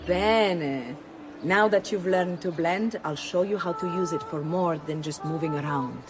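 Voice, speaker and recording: An actress playing a poised, worldly Italian madam and mentor in a video game, speaking with an Italian accent. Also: female voice, lowish voice, a little slow, noisy background, natural voice. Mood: guiding. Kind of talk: video game cutscene acting. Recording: background music under the voice.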